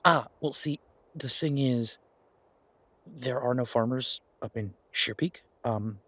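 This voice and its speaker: A man playing an affectionate, eager boyfriend in an audio roleplay, talking in a casual, halting, coaxing way with a husky voice. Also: medium pitch, fairly bright tone, dry recording. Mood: eager, persuasive. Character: affectionate, eager boyfriend pitching grand ideas to his werewolf girlfriend.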